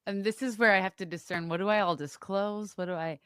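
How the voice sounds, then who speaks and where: A woman's voice: mid-range voice, quick pace, a bit bright, clean tone, dry recording, studio quality. A woman in her thirties from Wisconsin with a slight Wisconsin accent, telling her story as a podcast guest in a chatty way, with lots of "like" and "um". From Wisconsin, USA. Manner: chatty, frequent "like" and "um" fillers. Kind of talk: candid conversational storytelling. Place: remote studio.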